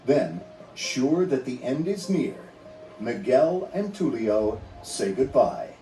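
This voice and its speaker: A man's voice: medium pitch, big pitch swings, slow, warm tone. A man reading a children's read-along story in a dramatic, scripted storytelling manner. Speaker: narrator. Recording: roomy, distant sound under music.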